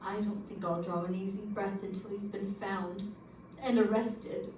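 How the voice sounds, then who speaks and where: Anxious businessman , played by a woman: formal, middle pitch, radio drama, roomy sound. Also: steady tone, measured pace, a little mellow, lightly acted. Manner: formal, precise. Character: anxious businessman in fear of a killer. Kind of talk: old-time radio detective drama performed live. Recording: echoey, distant, noisy room.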